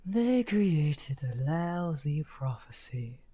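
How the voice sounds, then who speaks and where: A man playing a misunderstood outcast necromancer wizard, smugly amused and taunting, in an unhurried, breathy, often half-whispered ASMR roleplay. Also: fairly high pitch, big pitch swings, dark tone, heavily acted. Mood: bitter, confiding. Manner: unhurried, taunting, drawn-out phrasing. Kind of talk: slow theatrical ASMR roleplay.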